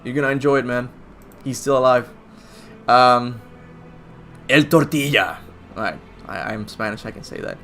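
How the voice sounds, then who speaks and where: A man's voice: mid-range voice, normal speed, long pauses, background noise. Rambling young man answering viewer questions. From Cuba. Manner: rambling, self-deprecating, laughs at own slips. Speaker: gaming channel host answering viewer questions. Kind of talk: casual livestream Q&A chat. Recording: faint music behind.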